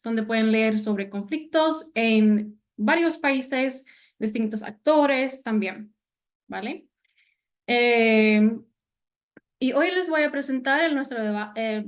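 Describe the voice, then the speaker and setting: Slow, high-voiced woman lecturing in careful Spanish. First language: not Spanish. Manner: hesitant, many fillers, careful non-native Spanish. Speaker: guest speaker, senior analyst. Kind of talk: online lecture presentation.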